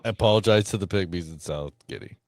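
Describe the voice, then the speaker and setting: A man co-hosting a podcast in casual comedic banter, dropping deadpan wisecracks. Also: deep voice, fast talker, no room sound, clean studio sound. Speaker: podcast co-host.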